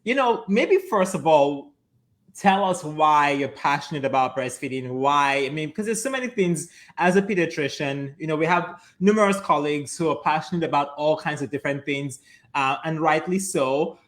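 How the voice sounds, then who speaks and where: Upbeat male physician host, higher-pitched, encouraging interviewer. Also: normal speed. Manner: warm-spoken, enthusiastic, affirming interviewer. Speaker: physician host of a weekly live show. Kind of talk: casual live interview.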